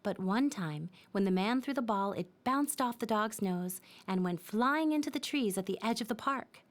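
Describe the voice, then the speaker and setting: A woman narrating a scripted children's story in a simple, expressive storytelling manner. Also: medium pitch, moderate pace.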